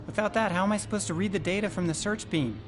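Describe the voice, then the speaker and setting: An actor playing an anxious, earnest spaceship survivor in a video game, with a dry conversational delivery. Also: male voice, high-pitched, quick pace, lightly acted. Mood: exasperated. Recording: game music behind the voice. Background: game background music.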